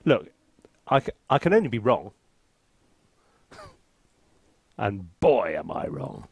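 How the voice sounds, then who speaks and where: A man's voice: on the low side, wildly swooping pitch, slow, creaky, darkish tone, breathy edge, muffled recording. A man giving a casual think-aloud music tutorial in a playful, self-deprecating, chatty way. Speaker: composer presenting a music software tutorial and review. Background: orchestral sample music.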